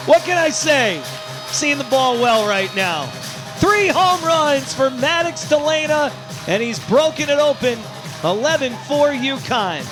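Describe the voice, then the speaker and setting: A man's voice: extremely high pitch, expressive, takes it slowly, over music. A man doing live baseball play-by-play as the announcer, in short, emphatic phrases. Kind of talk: live sports play-by-play commentary.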